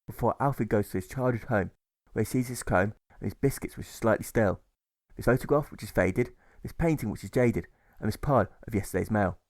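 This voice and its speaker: A man's voice: fairly low pitch, fairly quick, darkish tone, dry recording. A man narrating a rhyming audiobook as its author, with a rhythmic delivery that stresses the rhymes. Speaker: author reading his own novel.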